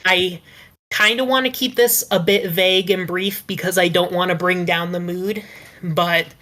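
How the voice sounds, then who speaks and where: A woman's voice: lowish voice, steady tone, moderate pace, fairly bright tone. A woman talking casually as a writer on a podcast panel, explaining things in a careful, reflective way.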